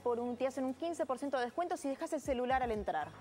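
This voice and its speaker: A woman's voice: sits high, expressive, quick pace, a little mellow. A young woman with an Argentine Rioplatense accent giving a chatty, enthusiastic restaurant review in a casual TV conversation. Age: young adult. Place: TV studio, indoors.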